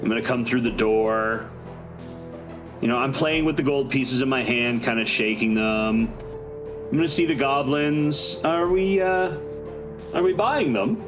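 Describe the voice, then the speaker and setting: A man's voice: medium pitch, a little slow. A man playing a tabletop roleplaying game, casually narrating what his character does. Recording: music playing behind. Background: background music.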